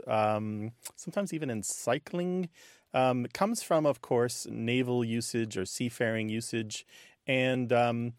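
A man's voice: medium pitch, dry recording, clean studio sound. A man co-hosting a call-in show, casually explaining things in a conversational way. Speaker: radio show co-host.

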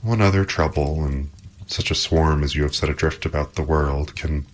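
A man's voice: rumbling low, normal speed. A man voicing a sullen, grudging young boy in a storybook, with a grumbling, curt way of talking. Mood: sulky.

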